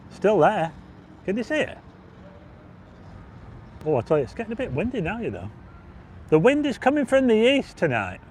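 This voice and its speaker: A chatty man talking casually on a livestream, his voice a touch high and going hoarse, with frequent throat clearing. He speaks outdoors on a windy seafront with faint traffic behind him, picked up on a handheld mic. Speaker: livestream host. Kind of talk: casual walking livestream chat.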